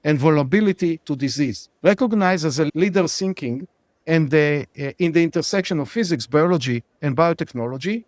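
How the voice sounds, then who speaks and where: A man hosting a podcast interview, speaking with halting, hesitant phrasing. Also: fairly high pitch, lively intonation, no room sound, clean studio sound. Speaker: podcast host.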